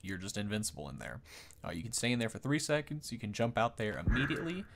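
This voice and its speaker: A man's voice: fairly low pitch, lively intonation, fast, vocal fry, noisy background. A man hosting a gaming video, explaining things in a casual, unscripted, rambling conversational way. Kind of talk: casual unscripted game commentary.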